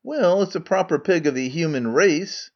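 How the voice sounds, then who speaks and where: A shrewd farmer trades dry, sarcastic verse, as a man reads him. The voice is a little high for a man and stays plain. The recording is dull and muffled. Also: normal speed. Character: shrewd, dry-witted male Athenian farmer.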